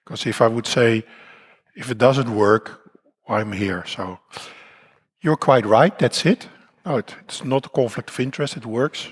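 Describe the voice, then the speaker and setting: A man with a Dutch accent addresses a conference audience, his voice croaky and husky today. His pitch sits in an ordinary middle range for a man. He talks in a loose, self-deprecating way, full of fillers and restarts. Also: unhurried, long pauses. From Netherlands. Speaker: conference speaker. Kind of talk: conference talk. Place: conference hall.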